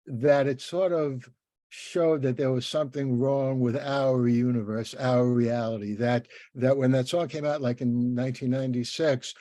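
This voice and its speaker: Older guy in his 70s doing an interview, soft mellow voice, dry sound, kinda rambles and restarts. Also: medium pitch, no echo. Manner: halting, thoughtful, rambling with many restarts. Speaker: interviewer and host. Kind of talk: conversational interview.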